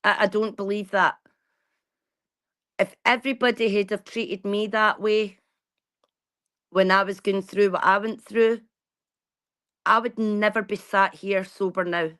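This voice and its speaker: A woman's voice: mid-range voice, steady tone, unhurried, pauses often, a bit bright. A woman, talking bluntly and casually to her viewers and swearing freely. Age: 40s. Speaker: vlogger. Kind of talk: casual chat.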